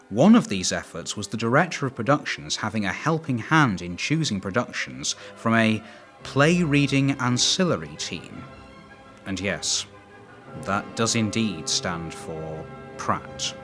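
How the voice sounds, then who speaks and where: A man's voice: mid-range voice, fairly bright tone. A man narrating a documentary from a script.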